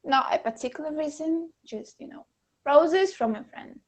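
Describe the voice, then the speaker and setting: Young female streamer guest, bubbly and giggly, non-native English speaker with a slightly high voice. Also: lively intonation, moderate pace. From Kazakhstan. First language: Russian. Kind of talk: casual interview chat.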